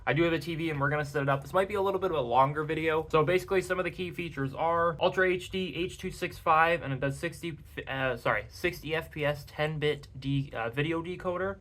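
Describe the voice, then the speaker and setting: A young man with a raspy, hoarse voice from a cold, reviewing a tech gadget in a casual, rambling way at home. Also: a little high, fast talker, few pauses, a bit bright. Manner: rambling, self-correcting.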